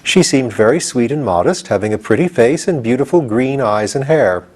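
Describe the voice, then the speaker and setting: A man reading a children's storybook aloud in an expressive narrating style. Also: medium pitch, moderate pace. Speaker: audiobook reader.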